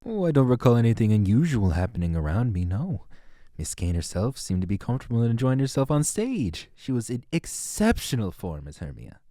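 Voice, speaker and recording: A man's voice: medium pitch, huge pitch swings, dark tone, no echo, studio quality. A man playing a smugly nonchalant fairy witness in an audio drama, speaking in a genteel, arch, theatrical way. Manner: genteel, arch, drawn-out phrasing.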